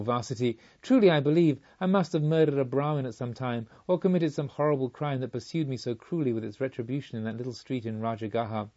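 A man's voice: on the high side, warm tone, highs cut off. A man voicing a restless, yearning male pilgrim seeker in an audiobook, earnest and pleading.

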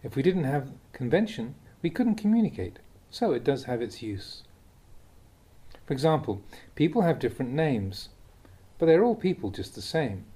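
Calm monk with mellow, husky voice. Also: male voice. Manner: calm, deliberate reading with lively pitch on key words. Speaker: monk reading Dhamma talks. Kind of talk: measured reading of a Buddhist teaching.

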